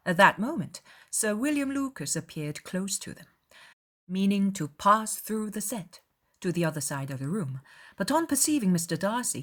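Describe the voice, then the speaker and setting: A woman's voice: fairly low pitch, a bit bright. A woman reading scripted literary narration as the narrator of a dramatized audiobook.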